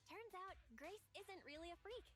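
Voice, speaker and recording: A woman's voice: very high-pitched, expressive, moderate pace, a bit bright. A woman voicing a small, teasing, matter-of-fact companion character in a video game. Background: music.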